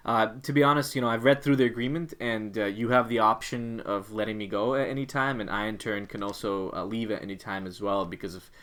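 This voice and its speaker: A polite but firm employee played by a man in a workplace role-play. He has a lowish voice and stays courteous, diplomatic and composed as he resigns. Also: steady tone, fairly quick, hardly any pauses, fairly bright tone, natural voice.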